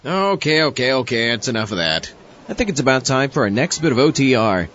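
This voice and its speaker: Man as an animated wry sidekick co-host. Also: mid-range voice, fairly quick, close to an everyday voice. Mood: brisk. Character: wry, excitable male villain's sidekick and show co-host. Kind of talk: comedic hosting banter. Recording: dull lo-fi, faint music behind.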